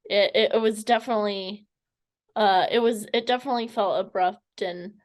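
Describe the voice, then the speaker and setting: A woman interviewing someone in a conversational way, feeling her way through sentences with hesitant, halting phrasing. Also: mid-range voice, steady tone. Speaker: interviewer, fan club member.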